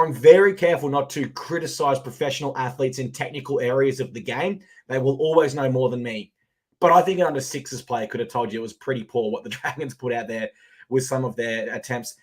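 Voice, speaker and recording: Man, Australian accent, slightly husky, lively podcast host, energetic recap. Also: medium pitch. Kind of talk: casual sports betting podcast chat.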